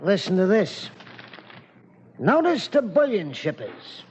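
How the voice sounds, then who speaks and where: A man's voice: high-pitched, wildly swooping pitch, takes it slowly, a little mellow, husky, exaggerated voice. An actor playing a frontier townsman in a 1940s radio drama. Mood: announcing. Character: frontier townsman reading out a newspaper notice. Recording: dull vintage radio recording.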